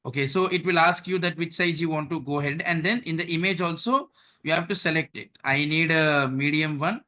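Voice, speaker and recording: Male trainer, bright higher voice, steady step-by-step instructions over a video call. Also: fairly quick. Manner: repeats "okay" and "right", walks through steps on screen. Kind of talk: online training session.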